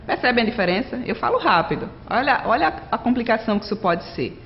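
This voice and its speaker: A woman's voice: a little high, animated, fast talker, a little hiss or hum. Chatty woman lecturing, dull lo-fi sound. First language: Portuguese. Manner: conversational, frequent tag questions, addresses students directly. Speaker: teacher. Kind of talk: recorded distance-learning lecture.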